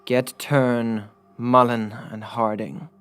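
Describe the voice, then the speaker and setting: A narrator voicing a cold, cruel male master of assassins in an audiobook, speaking with deliberate, threatening control. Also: mid-range voice, flat delivery, clear, resonant tone, faint music behind, pitched below the performer's own voice. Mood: quiet menace. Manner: soft, deliberate, threatening, at times growling.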